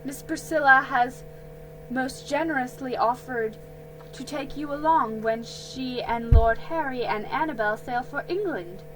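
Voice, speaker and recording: A woman voicing a formal colonial father who speaks in a slow, measured way. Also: sits high, music in the background, poor audio.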